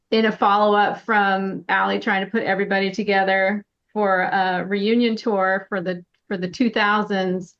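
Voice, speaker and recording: A woman's voice: mid-range voice, fairly bright tone. Woman on a dull lo-fi line. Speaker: guest, former pop singer. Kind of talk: casual interview chat.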